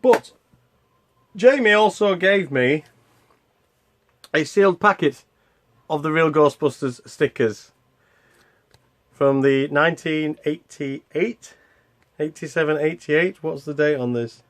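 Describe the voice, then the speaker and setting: A man's voice: fairly high pitch, slow, pauses often. A man hosting a retro gaming vlog in a casual, unscripted way, rambling and hesitant as he interrupts himself. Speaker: host of a retro gaming video channel.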